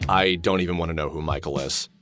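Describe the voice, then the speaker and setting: A man's voice: extremely low pitch, fairly even intonation, fast. An actor playing a weary, wry middle-aged man in a video game, speaking in a dry, sardonic manner. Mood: dry, wary. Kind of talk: scripted video game voice acting. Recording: music with guitar behind the voice.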